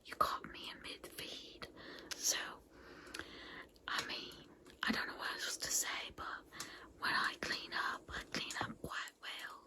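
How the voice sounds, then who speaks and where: A woman's voice: a little slow, close to an everyday voice. A woman playing a chatty vampire saleswoman in a comedic ASMR roleplay, whispering breathily with rambling, sweetly patronizing endearments. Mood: matter-of-fact, confiding. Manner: rambling, folksy endearments, confiding. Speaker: consultant selling vampire transformations.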